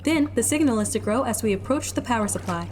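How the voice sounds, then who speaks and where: A woman's voice: fairly high pitch, expressive, fast, a little mellow, slight background noise, natural voice. A woman voicing a polite, helpful female android companion who speaks in formal, precise phrases. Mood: calm. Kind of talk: audio drama acting.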